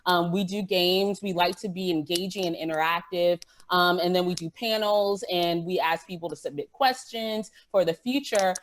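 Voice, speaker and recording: A woman's voice: lowish voice, normal speed, few pauses. A woman speaking as a guest podcaster on a panel, talking in an enthusiastic, emphatic way and often checking in with "right?". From West African immigrant family. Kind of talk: lively panel talk about events. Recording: clear noise with clicking sounds.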